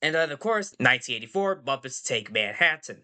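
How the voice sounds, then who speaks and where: A man's voice: medium pitch, lively intonation, a little fast, bright, forceful tone. A man hosting a casual movie podcast, nasal from a cold, rambling and repeating phrases and fillers. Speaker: podcast host.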